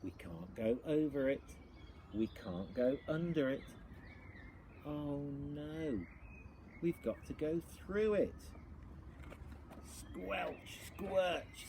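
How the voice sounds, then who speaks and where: Man reading theatrically, swooping expressive pitch. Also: a little hiss or hum. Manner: theatrical, exaggerated, deliberate delivery with repeated phrases. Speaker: storyteller. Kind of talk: dramatic children's picture-book reading.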